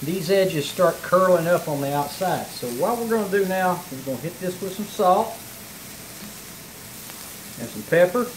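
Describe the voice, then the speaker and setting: A folksy middle-aged man with a drawl walks viewers through a recipe in his home kitchen. His voice sits a touch high for a man, and a frying pan sizzles clearly beneath his chatty talk. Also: animated, normal speed, pauses often, a little hiss or hum, poor audio. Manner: folksy, chatty, talks while cooking. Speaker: home cooking show host. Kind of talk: casual cooking demonstration.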